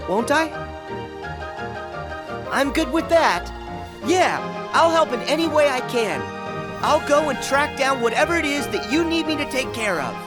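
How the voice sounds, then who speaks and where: Eager, lively teenage boy hero. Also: very high voice. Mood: determined. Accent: American. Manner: earnest, casual, spirited. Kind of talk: animated video game voice acting.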